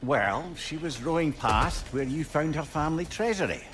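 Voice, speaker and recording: An actor playing an affable, articulate, sentimental companion in a video game, with an eloquent, reflective manner. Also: male voice, fairly high pitch, animated, moderate pace, very noisy, natural voice. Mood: tender reminiscing. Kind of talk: dramatic video game acting. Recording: loud music behind the voice.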